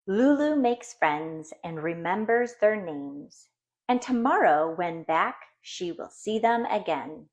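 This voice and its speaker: A woman reading a children's storybook aloud in an expressive, deliberate way for young listeners. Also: lowish voice, muffled recording. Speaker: storybook reader.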